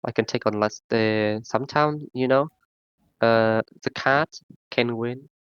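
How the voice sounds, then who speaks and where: A man with a sore throat in a casual group voice chat, rambling and halting, full of fillers. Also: mid-range voice, a bit bright, clean tone, dry recording, lo-fi sound. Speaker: discussion participant.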